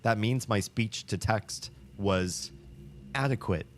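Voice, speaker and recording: A man with a low voice hosts a livestream, talking with viewers in a chatty, upbeat way. He rambles and restarts his sentences, with many ums and likes. A faint hiss sits underneath. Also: takes it slowly. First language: English. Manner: rambling, with frequent fillers and restarts. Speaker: livestream host. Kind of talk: casual chat with viewers.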